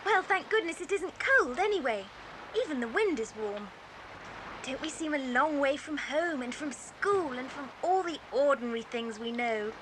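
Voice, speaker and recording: Young schoolgirl, high-pitched, wistful, children's adventure audio drama, noisy cassette. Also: animated, moderate pace. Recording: noisy old cassette story tape.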